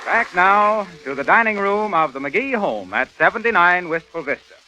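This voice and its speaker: A man's voice: high-pitched, big pitch swings, moderate pace, over music. An American man announcing in a scripted 1930s radio style, on a crackly old broadcast recording.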